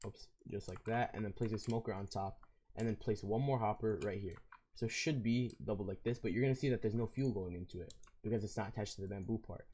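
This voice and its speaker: A hoarse man with a raspy voice, walking through a game build in a casual, rambling way. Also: lowish voice, fast talker, dark tone. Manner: casual and rambling, with fillers and self-corrections. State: hoarse, losing his voice. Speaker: video game tutorial creator. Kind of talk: casual step-by-step game tutorial.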